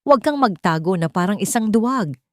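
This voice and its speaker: A woman's voice: mid-range voice, expressive, fairly quick, dry recording, studio quality, voice pushed up, lightly acted. Woman as a controlled, deadly mafia boss. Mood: challenging. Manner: controlled, commanding. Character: calm, deadly male mafia boss, older brother.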